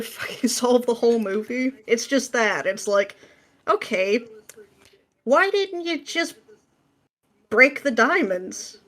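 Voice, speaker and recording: A woman's voice: high-pitched, fairly bright tone. A woman guesting on a podcast, ranting casually with exasperated sarcasm and dramatic, mimicking emphasis.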